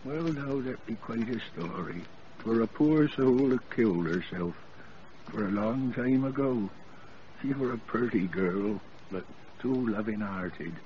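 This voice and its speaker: An actor playing an old rustic farmhand, telling a sad local tale in plain country speech, in an old radio play. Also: male voice, fairly low pitch, animated. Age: older. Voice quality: rural dialect grammar, slow folksy telling. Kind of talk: 1940s radio acting. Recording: dull old radio broadcast with hiss.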